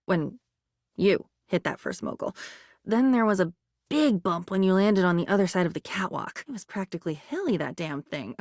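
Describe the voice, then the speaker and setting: A woman playing a living diary in a video game, a curious, quirky and excitable character who muses aloud in a chatty, theatrical, whimsical way. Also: lowish voice, moderate pace, no echo, studio quality. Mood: wondering, reminiscing.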